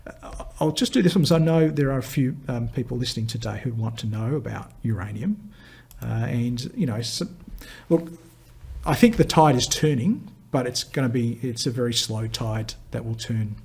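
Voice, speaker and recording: A hoarse man who is losing his voice, hosting a live viewer Q&A and rambling through stock charts with lots of fillers and restarts. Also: medium pitch, darkish tone. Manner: chatty and rambling, many fillers and restarts, quick laughs. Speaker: market analyst hosting a viewer Q&A. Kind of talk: live chart commentary answering viewer questions.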